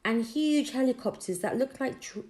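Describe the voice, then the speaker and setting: A woman narrating a children's audiobook expressively, with deliberate storytelling phrasing. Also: a little high, animated, darkish tone. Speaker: audiobook reader.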